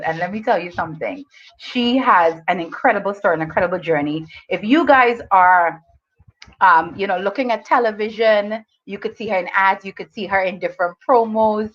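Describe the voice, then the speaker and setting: Woman talk show host, effusive and chatty; mid pitch, muffled audio. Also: moderate pace, slight background noise. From Bahamas. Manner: gushing, chatty, calls viewers "guys" and "honey". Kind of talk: casual talk show hosting.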